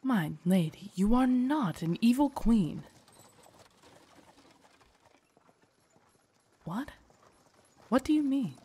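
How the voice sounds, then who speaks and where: A woman playing a loyal, protective female knight in an audio drama, formal, deferential and earnest, with a husky voice. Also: medium pitch, lively intonation, a little slow, dark tone. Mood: startled, urgent. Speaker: voice actor in audio roleplay. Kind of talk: acted immersive audio drama monologue.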